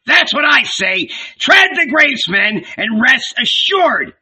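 A man voicing an irascible, scoffing old village patriarch in a dramatic audiobook, loud and exclamatory with a raspy voice. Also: very high-pitched, expressive, a little slow, voice pushed up, heavily acted. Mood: exasperated rage. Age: older. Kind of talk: dramatic audiobook character reading.

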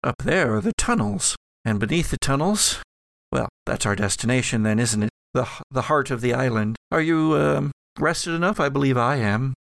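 A man's voice: medium pitch, animated, normal speed, vocal fry, fairly bright tone, no echo. A man voicing an ancient female spirit made of ectoplasm in an audio drama game, musing evasively and searching for words. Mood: cryptic, musing.

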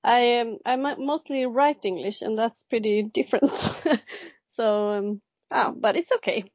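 A woman's voice: on the high side, measured pace, darkish tone, no echo. A woman in her thirties from Sweden, speaking English with a Swedish accent as an interview guest, hesitant and full of ums and restarts. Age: 30s. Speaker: guest illustrator. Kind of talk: casual interview answers. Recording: dry, dull lo-fi sound.

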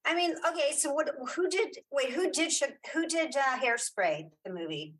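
A woman's voice: sits high, animated, moderate pace, fairly bright tone. A woman guest chatting casually in an interview, thinking aloud and repeating herself as she goes. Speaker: guest, audio drama creator and filmmaker.

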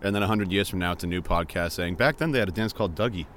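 A man co-hosting a casual podcast, cracking crude jokes in quick banter. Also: sits low, fairly bright tone. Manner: joking, crude, quick-talking. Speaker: podcast co-host.

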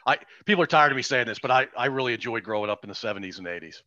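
Fifties guy hosting a podcast, bright voice, dry dull sound. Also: medium pitch, animated, rapid-fire, no echo. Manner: friendly, hesitant with fillers. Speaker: podcast host. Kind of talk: casual interview chat.

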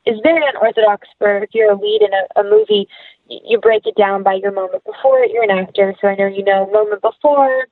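A woman's voice: mid-range voice, lively intonation, fairly quick, no room sound, narrow, tinny audio. A woman speaking as an actress being interviewed, chatting casually and animatedly with quoted asides, over a thin remote call.

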